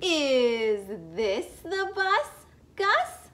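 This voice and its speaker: Woman voicing an eager, high-pitched little girl. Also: big pitch swings, drawn-out words, a bit bright, a little hiss or hum, heavily acted. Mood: eager, curious. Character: eager little girl asking at bus stop. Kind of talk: children's picture book read-aloud.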